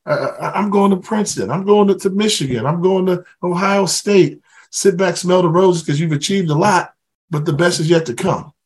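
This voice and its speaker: A man's voice: high voice, moderate pace, a little mellow, breathy edge. Easygoing ex-athlete guest, middle-aged man. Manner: easygoing, reflective, lots of "you know". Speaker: guest, former football player. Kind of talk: casual interview chat.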